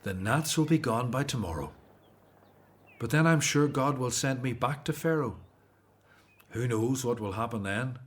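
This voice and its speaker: A man acting in a radio drama as an earnest, devout Hebrew prophet and leader, speaking in a solemn, measured way. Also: medium pitch, lively intonation, slightly rough. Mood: earnest, grave.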